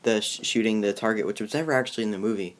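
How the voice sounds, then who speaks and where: Young man, lowish pitch, casual review host, halting, rambling, informal delivery. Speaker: host of a movie review video. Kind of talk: casual chat reviewing a film.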